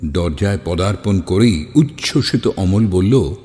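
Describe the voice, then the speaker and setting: A man's voice: deep voice, animated, unhurried. An actor playing a man who narrates a horror story in the first person, as one recalling his friends. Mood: brooding, nostalgic. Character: male friend recounting a fateful ghost story. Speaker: narrator and character in audio drama. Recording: long reverb, music behind.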